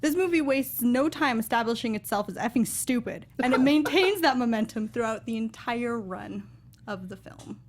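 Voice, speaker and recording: A woman's voice: a little high, animated, normal speed, hardly any pauses. A woman co-hosting a casual movie review chat in a dry, blunt manner. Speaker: talk show co-host.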